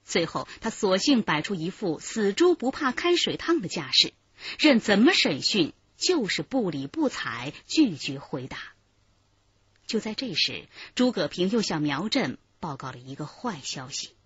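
A woman's voice: mid-range voice, very animated, measured pace, a bit bright, slightly rough, no room sound, heavily acted. A woman narrating a crime radio drama in a dramatic scripted style. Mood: grave, dramatic. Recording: muffled track with music behind.

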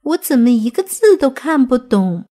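A woman's voice: sits high, big pitch swings, moderate pace, mellow, voice pushed up. A woman voicing a small, curious, innocent young child in an audiobook. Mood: puzzled.

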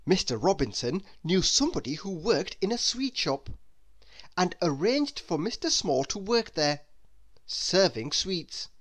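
A storybook narrator reading aloud for children. The voice sits at a medium pitch and moves up and down freely. The telling is expressive yet measured.

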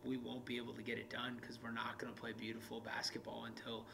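Gravelly, flat-toned male coach. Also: medium pitch, noisy background. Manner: candid, halting, many restarts and trailing off. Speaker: basketball coach. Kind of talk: post-game interview. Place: indoor media room in front of a backdrop.

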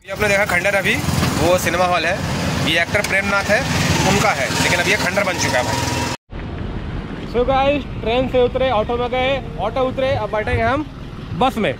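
A man's voice: high voice, normal speed. A man in his forties talking casually to viewers from a moving bus, filming with a camera held at arm's length. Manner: chatty, addresses viewers as guys. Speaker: travel vlogger. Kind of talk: casual travel vlog narration. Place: moving bus and auto rickshaw, street noise. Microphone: action camera at arm's length. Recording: loud road and engine noise.

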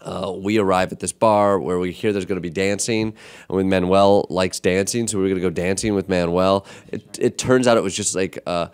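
A man in his thirties hosting a podcast in a small studio, telling road-trip stories in a casual, animated way. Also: low voice, a little fast, clean tone. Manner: animated, laughing storyteller. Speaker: podcast host. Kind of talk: casual storytelling chat. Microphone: large studio mic on a stand.

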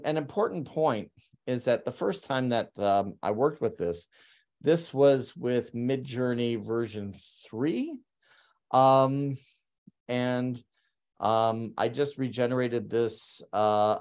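A man with a lowish voice gives a talk over slides on a video call, speaking through a webcam mic. He hesitates a lot, filling his sentences with ums and repeating words as he searches for them. It sounds like a remote presentation, loose and unscripted. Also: darkish tone. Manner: halting, many ums and restarts. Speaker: artist presenting his work.

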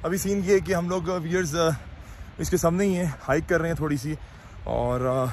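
A man's voice: on the high side, normal speed. A man presenting a casual travel vlog on location, chatting to viewers and mixing Urdu with English. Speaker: travel vlogger and host. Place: outdoors on location and in a vehicle. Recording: loud outdoor and vehicle noise.

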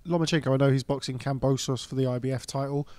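A man's voice: medium pitch, steady tone, fast talker, a little mellow, slight background noise. A man interviewing a boxing manager, asking long, rambling questions.

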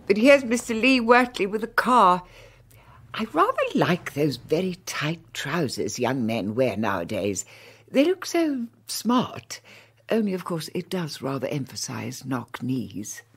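A soft-spoken, breathy older lady. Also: low-pitched, sing-song, unhurried, exaggerated voice. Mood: gentle.

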